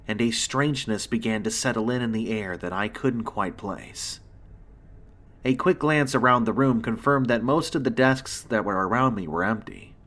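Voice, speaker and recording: A man reading a scripted first-person horror story as its narrator, with dramatic, suspenseful pauses. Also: on the low side, fairly bright tone.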